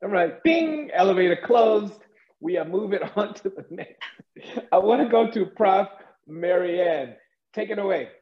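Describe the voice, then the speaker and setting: A man's voice: high voice, animated, unhurried. A man hosting a panel discussion, upbeat and joking as he moderates over a video call. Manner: playful, joking, enthusiastic. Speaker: panel host. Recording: echoey, dull room sound.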